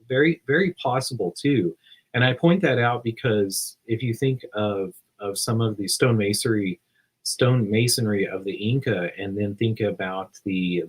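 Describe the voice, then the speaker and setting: A man's voice: fairly low pitch, husky, poor audio. A man talking at length in a casual podcast discussion, rambling with many restarts.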